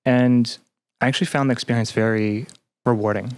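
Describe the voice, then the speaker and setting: A man talking casually as an interview guest, halting often as he searches for words. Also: medium pitch, fairly even intonation, darkish tone, clean tone, no echo. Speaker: podcast guest, graduate student.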